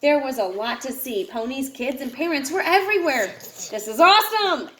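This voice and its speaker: A woman's voice: sits high, brisk, roomy sound, slight background noise. A woman reading a children's picture book aloud to a child, expressively. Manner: expressive, puts feeling into the dialogue. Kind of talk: read-aloud storytelling to a child.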